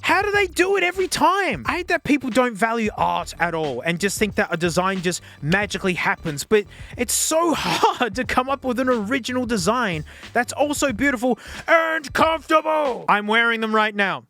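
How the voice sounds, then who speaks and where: An Australian man giving a casual, unscripted gadget review in an excitable, jokey, slangy way. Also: very high-pitched, normal speed.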